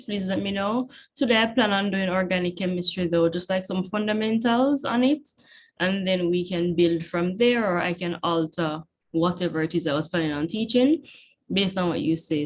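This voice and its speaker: A young Jamaican woman teaching an online chemistry class, chatty and encouraging, often checking in with her students. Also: on the low side. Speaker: chemistry tutor. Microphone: computer mic on video call.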